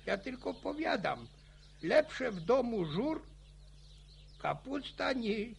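An actor playing an old village grandfather in a radio play, spinning boastful war yarns with a gravelly, stammering, chuckling voice. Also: very high voice, very animated, slow pace, full character voice. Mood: boastful reminiscing. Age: older. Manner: chuckling folksy yarn-spinning. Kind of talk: radio drama acting.

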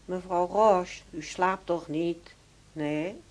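An actress playing a prim, inquisitive woman in a radio play, husky-voiced and insistently repeating her questions. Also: fairly low pitch, slow, darkish tone. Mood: insistent, prying. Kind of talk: radio drama acting.

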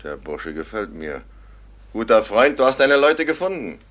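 Loyal robber henchman, low-pitched and hard-edged, blunt radio-drama delivery. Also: sing-song, normal speed, fairly bright tone. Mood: hearty, eager. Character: blunt, hot-blooded robber henchman, loyal to his captain. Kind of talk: classic German radio play acting.

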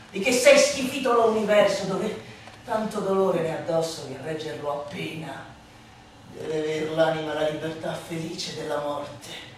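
A woman playing a sardonic, scornful satirical orator in a theatrical radio monologue, declamatory and emphatic, moving between hushed asides and loud outbursts. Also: lowish voice. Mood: confiding, sardonic. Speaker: actress performing a literary monologue. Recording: reverberant room, distant voice, faint noise.

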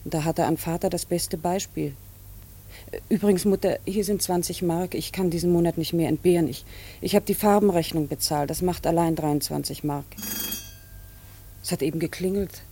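An actress in a radio play, playing an earnest, dutiful unmarried daughter with a restrained, halting but firm manner. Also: female voice, lowish voice, fairly even intonation, warm tone, slight background noise, lightly acted. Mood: earnest, troubled. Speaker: actor in a radio drama. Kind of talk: German radio play dialogue.

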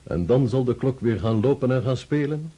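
A man acting an earnest, blunt staff general in a radio play, measured and dryly ironic. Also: mid-range voice, animated, dark tone, husky.

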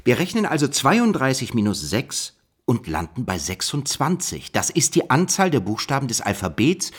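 An actor playing a pensive, erudite code-breaker in a radio play, musing and questioning in a husky voice. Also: male voice, medium pitch, huge pitch swings, normal speed. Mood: excited reasoning. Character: pensive male code-breaker puzzling over word riddles. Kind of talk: German radio play dialogue.

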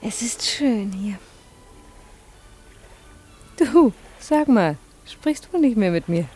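A woman's voice: on the high side, expressive, takes it slowly, mellow. An actress playing a playful, tender young woman in love, teasing and intimate in a 1950s radio play. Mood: tender, dreamy. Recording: 1950s radio recording, faint music behind.

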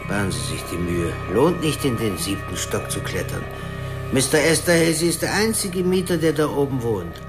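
A man's voice: medium pitch, lively intonation, moderate pace, lightly acted. An actor playing an unbothered building doorman in a German radio crime drama, terse and matter-of-fact. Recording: loud music behind the voice.

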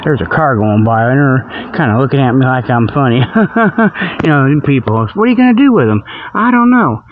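A man's voice: medium pitch, expressive, brisk, phone-quality sound, slight background noise. A man hosting a radio show in a rambling, folksy, repetitive comic monologue, recorded outdoors on a tablet. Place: outdoors on a walk before dawn. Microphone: budget tablet held in hand.

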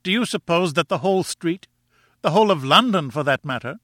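A man's voice: fairly high pitch, lively intonation, brisk, bright, forceful tone, no echo, studio quality, pitched above the performer's own voice. A man voicing a small, furious, scolding wife who exclaims indignantly in an audiobook. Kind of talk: audiobook character acting.

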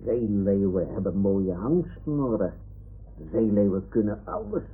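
Radio play creature: self-important toy sea lion, ponderous, very hoarse-edged, wide swings of pitch. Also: male voice, expressive, a little slow. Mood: proud. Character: slow, drowsy, proud old-fashioned toy sea lion. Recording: tinny old radio drama recording.

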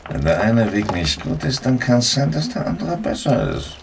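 An actor playing a big-bellied, plain-spoken, resolute sailor captive in a radio play, speaking in terse, colloquial phrases. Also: male voice, medium pitch, very animated, normal speed, creaky. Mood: calm, scheming. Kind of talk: German radio play acting. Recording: noisy old radio play recording.

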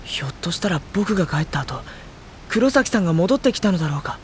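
An actor playing a level-headed, politely exasperated teenage schoolboy in an audio drama, both in dialogue and introspective narration. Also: male voice, high voice, very animated, quick pace, background noise. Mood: calm, cautious. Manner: polite speech to his senior, dry retorts. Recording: loud background music under the voice. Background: music.

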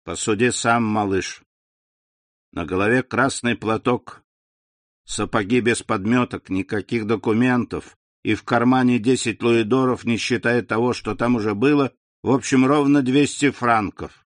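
A man reading an audiobook, voicing a scheming aging male innkeeper with a mocking, drawn-out manner. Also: medium pitch, dry recording, lightly acted.

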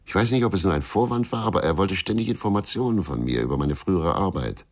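An actor playing a bitter, brooding former police investigator in a radio crime drama, speaking tersely. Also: male voice, low voice, expressive, fast talker. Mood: wary, brooding. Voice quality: gravelly.

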